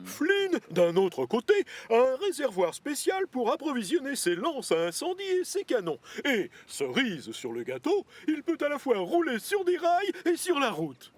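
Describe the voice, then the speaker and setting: Pompous, very animated railway boss. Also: male voice, very high-pitched, moderate pace, hardly any pauses, heavily acted. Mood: proud, official. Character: jovial, authoritative railway boss showing off his fleet. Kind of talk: animated children's cartoon dub.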